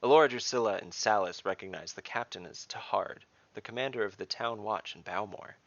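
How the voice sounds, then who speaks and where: A man's voice: on the low side, fairly quick, no echo. A man narrating a scripted fantasy story, recorded up close with a slightly dull sound.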